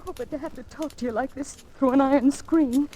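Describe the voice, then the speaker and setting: An actress playing an anguished, devoted young wife, emotional and pleading, in a 1940s radio drama. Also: female voice, high-pitched, fairly even intonation, a little fast, mellow, lightly acted. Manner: emotional, pleading, breathy exclamations. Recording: noisy 1940s radio recording.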